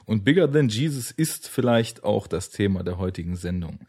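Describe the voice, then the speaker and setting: A man's voice: lowish voice, animated, normal speed, darkish tone, slightly rough. A man hosting a podcast, talking casually about films with his guests. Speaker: podcast host. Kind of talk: casual film podcast talk.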